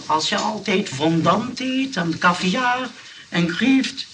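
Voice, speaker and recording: Haughty rich dowager, played by a man, affected and very high; distant, noisy radio sound. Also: lively intonation, slow. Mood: genteel, condescending. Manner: affected, genteel, condescending. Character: burglar posing as a grand, haughty old wealthy lady. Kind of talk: radio comedy acting.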